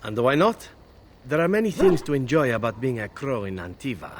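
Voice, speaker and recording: An actor playing a suave, roguish and unrepentant elf assassin in a fantasy video game, with a silky, theatrical delivery. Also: male voice, medium pitch, big pitch swings, a little fast, a little hiss or hum, natural voice. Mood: amused, smug.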